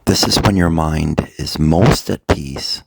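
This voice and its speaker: A man speaking as a meditation coach in a guided, motivational style, deliberately, in short phrases with long pauses, his voice gravelly and husky. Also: extremely low pitch.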